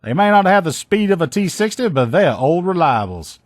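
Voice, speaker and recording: A man's voice: high voice, sing-song, a little fast, no room sound, studio quality. A man playing an upbeat, loyal army lieutenant and armor mechanic, with folksy, informal soldier talk, as video game dialogue. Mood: brisk, assured.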